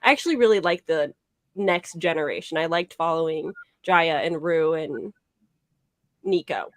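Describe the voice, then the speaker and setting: A woman's voice: low voice, moderate pace, no room sound. A woman giving her opinions casually as a book club guest on a video call. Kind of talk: casual book discussion chat. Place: indoor room with bookshelves, video call.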